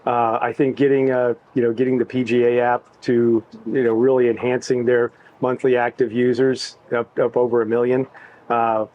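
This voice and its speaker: A middle-aged man talking about his work in an easygoing, halting way, with many restarts. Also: medium pitch, flat delivery, highs cut off. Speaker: tech executive, interviewee. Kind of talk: casual backstage interview.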